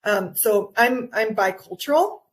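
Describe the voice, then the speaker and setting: A woman's voice: fairly high pitch, expressive, unhurried, poor audio. A woman from Guatemala with an American accent, giving conversational interview answers as a wellness coach, enthusiastic and explanatory, often correcting herself. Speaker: nutritional anthropologist and wellness coach, podcast guest.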